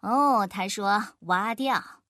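A woman playing a sly, witty trickster man in a children's story, with a deadpan, teasing manner. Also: medium pitch, very slow, no echo, clean studio sound.

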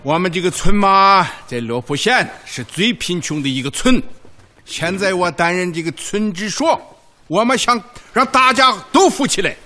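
A man's voice: sits high, animated, moderate pace, bright, forceful tone. A man acting an eager, shrewd village party secretary in a radio drama, folksy and emphatic. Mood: rallying, insistent. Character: eager, shrewd, big-hearted male village party secretary rallying farmers.

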